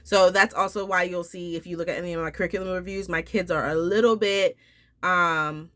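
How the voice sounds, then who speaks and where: Bright-voiced woman, nasal from the flu. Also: on the low side, steady tone, brisk. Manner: chatty, rambling with many fillers and restarts. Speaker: homeschool vlogger. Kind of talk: casual talk to camera.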